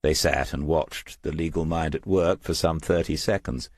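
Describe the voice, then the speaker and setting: Man, audiobook narrator, very low pitch, dry close sound, measured crime storytelling. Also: dry recording. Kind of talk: scripted crime fiction narration.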